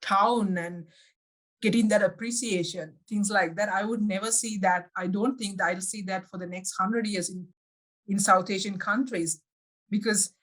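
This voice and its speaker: A woman from Sri Lanka, a guest in an interview, giving reflective answers and often pausing to find her words. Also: mid-range voice, low-quality recording. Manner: thoughtful, pauses often to search for words.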